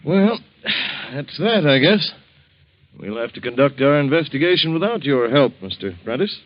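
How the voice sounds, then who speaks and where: An actor playing a brisk, insistent police captain, blunt and pressing, in a 1940s radio drama. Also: male voice, a little high, very animated, no room sound. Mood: resigned, businesslike. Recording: muffled, dry old radio recording.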